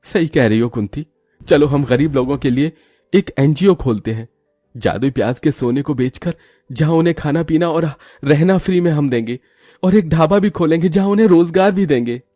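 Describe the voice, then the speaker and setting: A man voicing an excitable, good-hearted village husband and father in a cartoon story, with an exclaiming manner. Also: fairly high pitch, moderate pace, no echo, studio quality, soft background music, pitched above the performer's own voice, natural voice. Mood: earnest.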